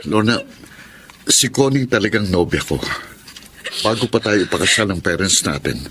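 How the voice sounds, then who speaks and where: A man's voice: on the low side, lively intonation, normal speed, fairly bright tone, slight background noise. A man playing an unfaithful, apologetic husband in a Filipino radio drama, earnest and dramatic, with a Filipino accent. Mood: apologetic confession.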